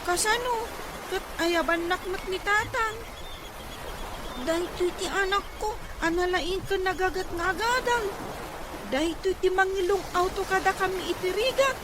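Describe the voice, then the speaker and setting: A woman's voice: extremely high pitch, unhurried. A woman playing a young daughter who is ashamed of her drunk father, pleading in a radio drama. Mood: troubled. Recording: noisy radio recording.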